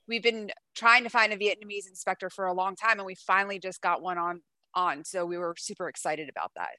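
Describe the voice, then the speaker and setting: A woman's voice: mid-range voice, lively intonation, fairly quick, sharp tone, dry recording. A woman hosting an interview podcast, talking in a chatty, informal way. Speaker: podcast host.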